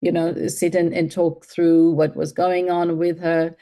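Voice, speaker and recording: A woman from Mauritius speaks as an interview guest, her voice low and mellow. She thinks aloud, circling back with fillers and restarts as she builds each answer. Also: little pitch movement, a little slow. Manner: thoughtful, with many fillers and restarts. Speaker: guest, health tech CEO.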